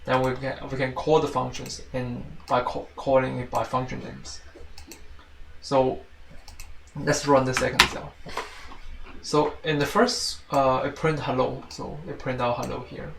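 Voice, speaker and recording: A man with a Chinese accent giving an informal tutorial as a teaching assistant, halting and often repeating and rephrasing himself. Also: medium pitch, flat delivery, gravelly, fairly bright tone. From China. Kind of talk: informal spoken tutorial walkthrough. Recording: roomy, distant mic, faint noise and clicks.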